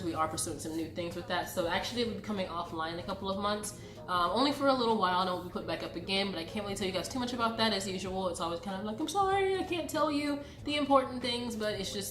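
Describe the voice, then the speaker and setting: A woman in her late twenties with a congested voice from a cold, chatting casually and familiarly to her viewers as a vlogger. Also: lowish voice. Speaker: YouTube vlogger. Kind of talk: casual direct-to-viewer channel update. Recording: roomy echo, background music. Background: music.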